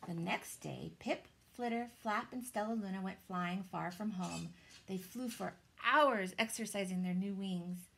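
A woman, reading a children's story aloud in a dramatic, deliberate way. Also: medium pitch, creaky, fairly bright tone, a little hiss or hum. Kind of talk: expressive children's storybook narration. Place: small indoor room with shelves.

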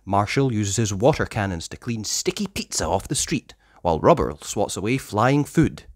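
A person reading a children's story aloud in expressive narration. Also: medium pitch, normal speed, no room sound, studio quality. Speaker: storybook reader.

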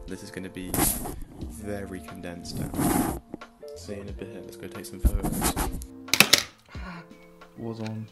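Casual young guy vlogging at his desk, croaky just-woke-up voice, self-deprecating, keeps restarting. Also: on the low side. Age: young adult. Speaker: film photography vlogger. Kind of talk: casual vlog. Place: small home office room. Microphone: desk mic in front.

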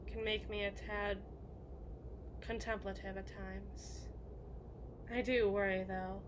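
A woman's voice: medium pitch, close to an everyday voice. Woman playing a brooding evil queen, noisy ambience. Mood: hesitant, worried. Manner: formal, literary, introspective phrasing. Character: melancholy evil queen, guilt-ridden, brooding, confiding in beloved shepherd. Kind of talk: ASMR roleplay monologue.

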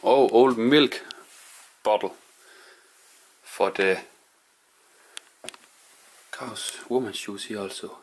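Slow, low-voiced man exploring quietly. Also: breathy edge. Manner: hushed, musing, non-native English phrasing. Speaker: urban explorer vlogger. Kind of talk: casual narration while exploring. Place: indoors in an abandoned house.

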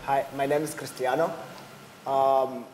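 A man in his twenties from Brazil, a talk show guest speaking simple, halting English. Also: medium pitch, little pitch movement, reverberant, big-room sound, background noise. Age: 20s. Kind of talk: casual chat in second language. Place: TV studio set.

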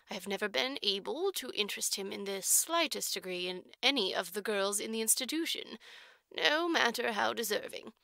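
Woman putting on a prim orphanage matron, audiobook character, slow placid formality, stern, creaky edge, very dry sound. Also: on the high side, fairly bright tone, no echo.

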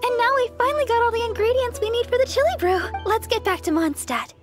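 Anime game role: young church healer, very high, sweet and earnest, prominent music bed. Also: female voice, expressive, fast talker. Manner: polite, apologetic, gentle. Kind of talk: anime-style video game character acting. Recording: loud game music behind.

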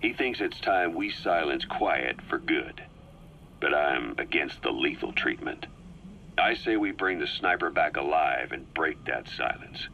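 Game role: a watchful, seasoned military intelligence man. Deep, forceful, measured delivery over faint noise. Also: expressive, vocal fry, lo-fi sound, full character voice. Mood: grave, analytical. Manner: measured, deliberate, dramatic pauses. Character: shrewd, seasoned male military intelligence officer, cool and watchful. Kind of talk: scripted game audio briefing.

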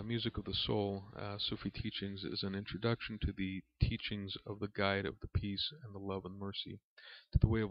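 A man reading aloud from a spiritual book as a lesson, in a measured, reverent manner. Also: fairly low pitch. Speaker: reader and religious teacher. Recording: dull, lo-fi recording in an echoey room.

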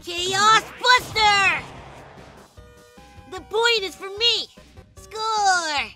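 A woman voicing an earnest, eager young monster child in a comic dub, with expressive delivery. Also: extremely high pitch, extremely slow, soft background music, heavily acted. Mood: playful. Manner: expressive, exclamatory.

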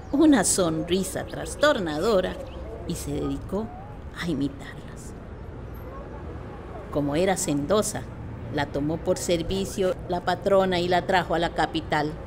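Low-voiced Costa Rican woman narrating a legend. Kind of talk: scripted legend narration, radio play. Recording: clear background noise, nature ambience.

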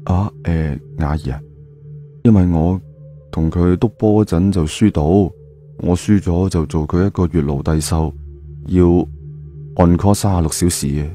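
A man's voice: low-pitched, moderate pace, mellow, soft background music, lightly acted. A man voicing an evasive, wheedling young boyfriend in an audio story, hesitant and placating. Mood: awkward, evasive. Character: evasive, wheedling young man caught between two girlfriends.

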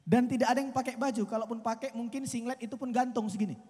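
Young guy from doing stand-up, lively and chatty, handheld mic, voice ringing out with reverb. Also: very high voice, fast, husky. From Medan, Indonesia. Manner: chatty, animated storytelling with acted quotes. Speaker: stand-up comedian. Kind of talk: stand-up comedy set. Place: outdoor stage at a night event.

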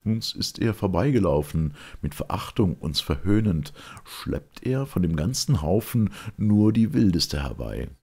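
A solemn chorus from classical drama, spoken by one male reader. The voice is low and gravelly, and the verse comes slowly and with measured gravity. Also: sing-song, dark tone, full character voice. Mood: wistful.

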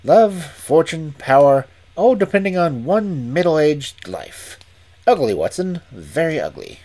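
Incisive Victorian detective, read aloud, measured, deliberate, lively pitch. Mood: reasoning. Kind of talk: audiobook reading.

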